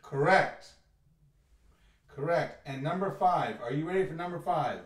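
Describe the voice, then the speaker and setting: A man's voice: a little high, lively intonation, measured pace, roomy sound. A man co-hosting a podcast, quizzing his co-host in a jokey, teasing way. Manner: jokey, teasing, loud asides.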